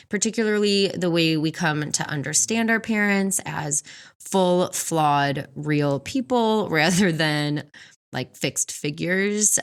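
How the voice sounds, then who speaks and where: A woman in her forties co-hosting a book podcast, reviewing in an expressive, chatty way with frequent laughter. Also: fairly low pitch. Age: 40s. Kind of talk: book review chat, partly prepared notes.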